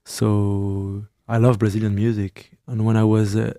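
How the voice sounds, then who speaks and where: A young man from France who speaks natively, talking haltingly in an interview, searching for words with many pauses. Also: lowish voice, little pitch movement, mellow, dry recording, clean studio sound. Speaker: musician guest. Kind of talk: interview answers.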